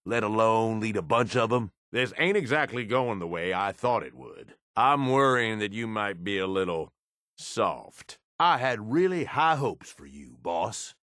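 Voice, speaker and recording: A low, gruff American male raider from a post-apocalyptic video game, recorded close and dry. He is a cynical, loyal henchman who talks bluntly in coarse street slang. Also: a bit bright, no room sound. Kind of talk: video game companion voice lines.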